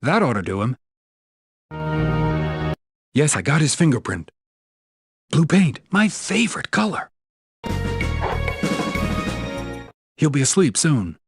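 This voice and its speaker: An actor playing a self-assured secret agent hero in a video game, delivering dry, quipping scripted lines. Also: male voice, a little high, big pitch swings, measured pace, pauses often, music in the background. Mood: smug, dry.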